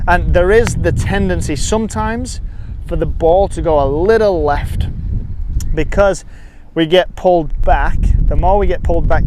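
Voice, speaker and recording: A man's voice: a little high, background noise. A man giving step-by-step golf instruction outdoors, often repeating and rephrasing as he explains.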